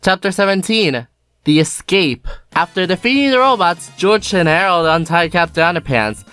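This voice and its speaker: A woman narrating a children's storybook in a steady, expressive read-aloud manner. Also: on the low side, normal speed, clean tone, dry recording, soft background music. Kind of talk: storybook reading.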